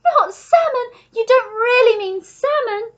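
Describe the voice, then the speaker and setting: A woman's voice: high-pitched, normal speed, highs cut off, pitched above the performer's own voice. A woman voicing a nervous, courteous male pelican in a storybook, apologetic and fussy in manner. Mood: screeching delight. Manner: nervous, apologetic, screechy when excited.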